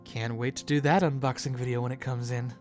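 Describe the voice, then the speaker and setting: A video host giving casual, expressive conversational commentary. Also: medium pitch, fairly quick, faint music behind.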